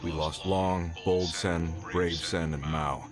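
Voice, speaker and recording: A man's voice: low-pitched, steady tone, slow, music in the background. A man playing a worried, earnest mine foreman in a video game. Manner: earnest, troubled reporting. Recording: game soundtrack under voice.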